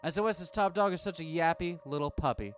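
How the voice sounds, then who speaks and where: A man's voice: fairly high pitch, brisk, soft background music, pitched above the performer's own voice, close to an everyday voice. A man voicing a cocky, taunting reporter. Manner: taunting, sarcastic. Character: cocky, mocking adult male reporter.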